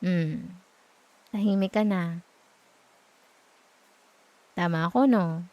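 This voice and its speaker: A woman with a Filipino accent playing a gentle, reassuring girlfriend in an intimate ASMR roleplay, speaking softly and tenderly close to the microphone. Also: fairly low pitch, takes it slowly, dry recording, lo-fi sound. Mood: soft, caring. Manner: soft, tender, coaxing, with murmured hums. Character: gentle, reassuring young girlfriend comforting her partner at night. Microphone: close microphone, dry.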